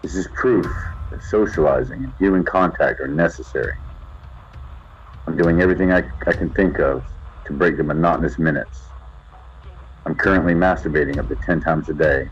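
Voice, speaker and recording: A man's voice: a bass voice, normal speed, slightly rough. A man playing a lonely, bored survivor in an audio drama, logging his days formally over a radio. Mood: weary, flat. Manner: formal radio-log delivery in short statements. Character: lonely, bored male survivor broadcasting from an underground bunker. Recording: muffled hand-held radio sound with noise.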